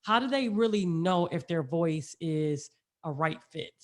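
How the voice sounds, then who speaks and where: A woman's voice: fairly low pitch, animated, a little slow. A woman co-hosting a casual interview, asking long, winding questions. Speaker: co-host.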